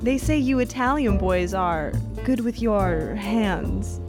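A woman's voice: medium pitch, animated, takes it slowly. A woman playing a flirtatious, teasing, folksy lodge hostess in a 1930s-style radio drama, with a playful delivery. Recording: prominent music under the voice.

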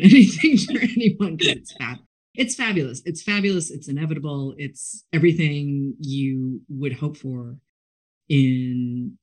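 A woman hosting a book interview, chatting enthusiastically in an effusive, expressive and rambling way. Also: low-pitched. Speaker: interviewer, book show host.

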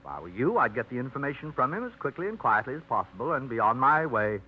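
A man's voice: medium pitch, very animated, fast, slightly rough. An actor playing a fussy, set-in-his-ways middle-aged bachelor who rambles with old-fashioned phrases, in a 1950s radio drama. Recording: muffled old radio broadcast.

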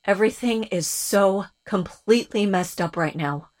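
A woman's voice: medium pitch, moderate pace, natural voice. An actress playing a weary female revolutionary captive with a bitter, sardonic manner.

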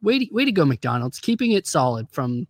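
A man's voice: a little high, big pitch swings, fairly quick, dry recording. Rambling, jokey man in a dry room. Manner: rambling, jokey, lots of fillers and self-interruptions. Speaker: podcast co-host and actor. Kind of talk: casual chat.

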